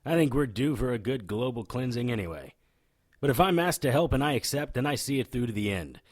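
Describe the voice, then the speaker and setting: An actor playing a cocky, sardonic occult investigator in an audio drama, with a wry, taunting delivery. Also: male voice, medium pitch, lively intonation, fairly quick, dry recording, clean studio sound, natural voice. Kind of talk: audio drama acting with noir-style narration.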